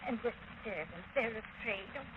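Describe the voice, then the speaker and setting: A woman's voice: a little high, expressive, normal speed, phone-quality sound, slight background noise, close to an everyday voice. An actress playing an exhausted, distraught lady of a grand house, faltering and melodramatic, in 1930s radio style on an old broadcast. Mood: anguished, weary. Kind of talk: 1930s radio mystery acting.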